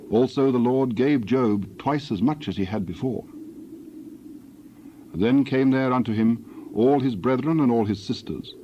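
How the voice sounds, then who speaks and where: A man narrates scripture as a solemn, measured storyteller. His voice sits at a middle pitch. The recording is a little dull, with faint noise behind it. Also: mellow.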